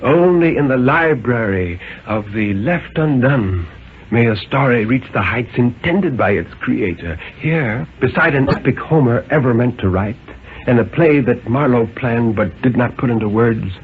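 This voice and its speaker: A man voicing a solemn, gently chiding ancient man in a slow, sighing, fatherly way for a radio story. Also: medium pitch, natural voice. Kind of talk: 1950s radio dramatic reading. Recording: narrow-band, noisy old radio recording.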